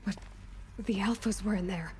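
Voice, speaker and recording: An actress playing a brave young female warrior in a video game, soft-spoken and at times whispering. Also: mid-range voice, moderate pace, noisy background, close to an everyday voice. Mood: quiet. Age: young adult.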